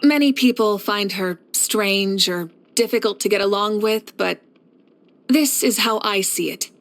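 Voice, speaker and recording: A woman voicing a steadfast, caring warrior leader in a video game, speaking in a measured, reflective and assured way. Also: medium pitch, natural voice. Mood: calm, assured. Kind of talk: scripted video game character voice lines.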